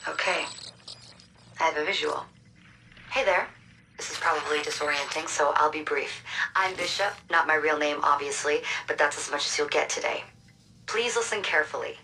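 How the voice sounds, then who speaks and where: A secretive resistance handler in a video game. Her voice sits low but bright and sharp, brisk and urgent. Faint noise hums behind her. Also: poor audio. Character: secretive woman resistance hacker guiding a recruit. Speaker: mission handler.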